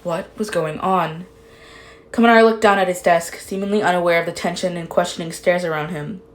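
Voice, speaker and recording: Lowish-voiced woman narrating fan fiction. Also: fairly even intonation, a little fast, poor audio. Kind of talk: expressive amateur story narration.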